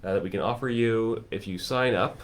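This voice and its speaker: A man hosting a casual chat, enthusiastically pitching a course and piling up lists and vivid comparisons. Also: fairly quick. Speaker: music teacher and course host.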